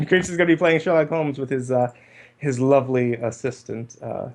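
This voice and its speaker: A man's voice: mid-range voice, fairly even intonation, normal speed. A man hosting a livestream in casual group chat, with hesitant, rambling explanations. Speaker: livestream host.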